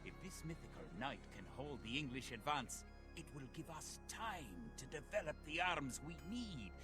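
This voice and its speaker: An actor playing a grave, resolute medieval Scottish soldier, narrating a war in a dramatic, theatrical storytelling style for a game cutscene. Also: male voice, a little high, lively intonation, normal speed, close to an everyday voice. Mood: ominous. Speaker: campaign narrator. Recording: music under the voice.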